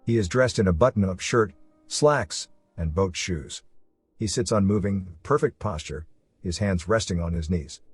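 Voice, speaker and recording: A man's voice: deep voice, normal speed, husky. A man narrating a suspense story from a script as the narrator of an audio drama. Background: faint music.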